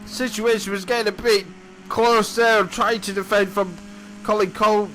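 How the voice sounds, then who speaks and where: A man, hoarse and croaky from losing his voice, commentates a sim race replay in a bright, slightly high voice. He chats along casually, often correcting himself and apologising. Faint car engine noise runs underneath. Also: little pitch movement, normal speed, poor audio. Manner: chatty, apologetic, self-correcting. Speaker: sim racing commentator and driver.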